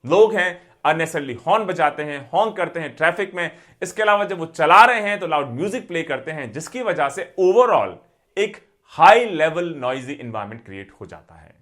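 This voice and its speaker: Male lecturer, Indian accent, slightly high register, very animated intonation, didactic delivery, code-mixing English terms. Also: normal speed. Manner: didactic, repeats and lists points for emphasis. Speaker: teacher for civil service exam aspirants.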